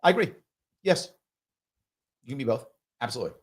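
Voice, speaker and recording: A man's voice: medium pitch, animated, a little fast, breathy edge. A man hosting a live talk show, reading viewer comments aloud and arguing his points emphatically, often tagging "right?" onto his sentences. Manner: emphatic, conversational, repeats himself, frequent "right?". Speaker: host.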